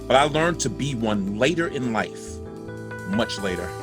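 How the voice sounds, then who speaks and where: A man's voice: medium pitch, animated, unhurried, music in the background, close to an everyday voice. An actor playing a regretful older father, delivering a candid, rueful, reflective monologue in a family drama. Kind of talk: dramatic monologue in play reading.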